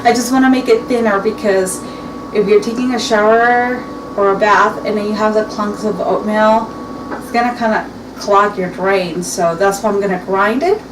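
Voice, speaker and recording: A woman's voice: mid-range voice, fairly even intonation, normal speed. Chatty woman in her forties, echoey kitchen. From Mexican heritage. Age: 40s. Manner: chatty, rambling with frequent restarts and fillers. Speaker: beauty vlogger. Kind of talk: casual chat to camera. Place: home kitchen, indoors. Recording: echoey room, distant mic, clear background noise.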